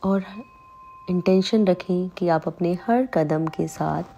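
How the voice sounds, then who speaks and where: Slow, mellow woman guiding a meditation. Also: on the low side, gravelly, muffled recording, slight background noise. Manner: calm, soothing, unhurried instruction with long pauses. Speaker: meditation guide. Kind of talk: slow guided meditation in Hindi.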